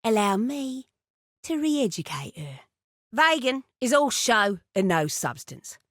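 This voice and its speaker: A woman voicing a cunning, cruel female syndicate assassin in a video game, with a taunting, deliberate, silkily menacing delivery. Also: fairly high pitch, very animated, no room sound, studio quality, full character voice. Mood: scheming, composed. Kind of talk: video game villain voice lines.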